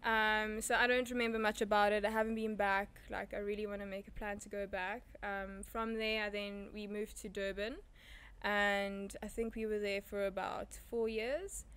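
Smooth-voiced young woman guest, chatty. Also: mid-range voice, flat delivery, moderate pace, a bit bright. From South Africa. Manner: chatty, thinks aloud with many "um"s and "like"s. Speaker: interview guest. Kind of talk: casual conversation. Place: small indoor room with curtains, seated in armchairs. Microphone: handheld mic passed between speakers.

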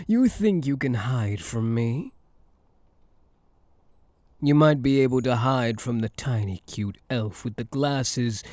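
A smug elf hunter, acted by a man in an intimate ASMR audio roleplay. He is possessive and seductive, speaking slowly and deliberately with teasing swoops in pitch. The sound is dry and close. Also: darkish tone, breathy edge, no echo, heavily acted. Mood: smug, predatory.

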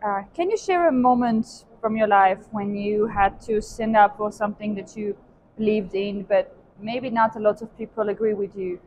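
A woman's voice: mid-range voice, moderate pace, darkish tone. A young woman hosting a casual interview while strolling indoors. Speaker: host. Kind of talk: casual walk-and-talk interview. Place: large indoor hotel hallway and walkway, while walking. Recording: clearly noisy hallway ambience.

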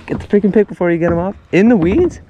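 A young man hosting a fishing vlog from a kayak, loud, excitable and full of slang, recorded outdoors on an action camera. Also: high-pitched, quick pace, phone-quality sound, a little hiss or hum. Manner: hyped, loud, laughing, slangy. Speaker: vlog host.

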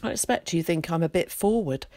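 A woman voicing a confident, talkative young schoolboy who chatters quickly and brightly in a well-spoken way. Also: lowish voice, animated, no room sound, natural voice. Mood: eager.

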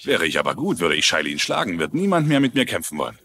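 Low-voiced, earnest male boxer. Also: very animated, fast, pushed and bright, exaggerated voice. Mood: earnest, resigned. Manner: polite, earnest. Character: humble, earnest, resigned male journeyman boxer. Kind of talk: German-dubbed TV crime drama.

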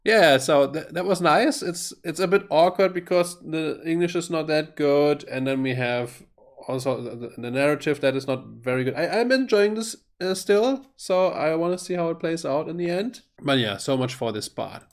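Higher-pitched German-accented man reacting casually. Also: expressive, normal speed. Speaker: reaction video host. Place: small furnished room at home.

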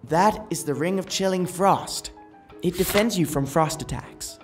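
Brisk female expert for a fantasy comic dub, acted by a woman; low pitch, clear explanatory manner. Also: over music, natural voice. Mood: matter-of-fact. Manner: matter-of-fact, explanatory. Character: brisk, knowledgeable female guide explaining magic items.

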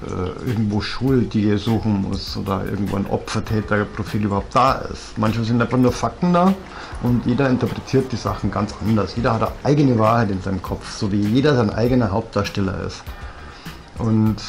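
A man's voice: lowish voice, vocal fry, a little mellow, a little hiss or hum. A man in his forties with a Bavarian accent, telling a personal story bluntly and reflectively, with many fillers, filmed at close range on a sofa at home. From southern Germany. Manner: blunt, rambling, frequent fillers. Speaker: vlogger. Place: living room, seated on a leather sofa. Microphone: fixed camera at close range.

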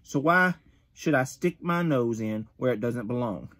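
A man in his thirties reading a wrestling magazine interview aloud at home, gruff and tough-talking, with the odd stumble, recorded on a phone camera at close range. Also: medium pitch, lively intonation, unhurried, fairly bright tone. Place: bedroom with shelves of collectibles.

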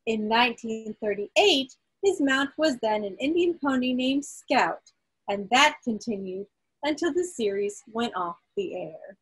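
Woman, husky, unhurried pace, quiz host, emphatic, reading out results, video call audio. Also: on the high side, expressive, rough recording. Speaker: quiz host at a club meeting. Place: indoors, on a group video call.

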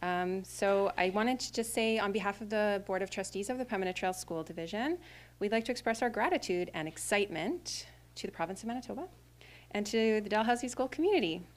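A woman school trustee giving a prepared greeting speech at a podium in a school classroom, formal with small fillers. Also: medium pitch. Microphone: podium microphone.

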